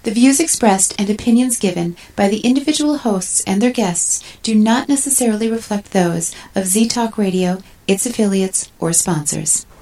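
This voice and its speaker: A woman's voice: medium pitch, normal speed, hardly any pauses, dark tone. A woman announcer reading a scripted radio network disclaimer.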